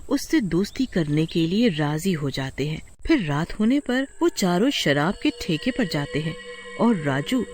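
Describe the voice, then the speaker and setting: Slow, dramatic male story narrator. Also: a little high, big pitch swings, darkish tone, soft background music.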